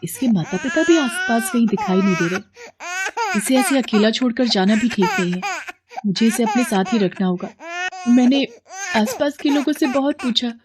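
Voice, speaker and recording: Woman playing a poor cartoon mother, tender, tearful, higher-pitched. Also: big pitch swings, moderate pace, a crowd in the background.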